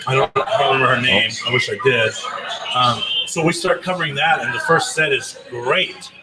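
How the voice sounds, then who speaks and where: A man's voice: mid-range voice, steady tone, moderate pace. The co-host at a noisy live sports broadcast, a guy raised in Southern California spinning casual anecdotes between guests. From born abroad in Germany, grew up in Southern California. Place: live broadcast from a grill restaurant. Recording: noisy live venue ambience.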